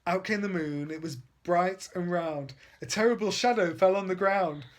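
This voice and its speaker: A man's voice: high voice, moderate pace. A man, a father, reading a rhyming children's storybook expressively.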